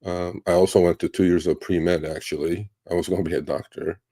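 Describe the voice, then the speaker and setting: A man in his fifties from Turkey, hosting a livestream Q&A and telling stories in a relaxed, rambling way with many fillers. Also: low-pitched, brisk, no room sound, studio quality. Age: 50s. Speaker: livestream host. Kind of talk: casual livestream Q&A.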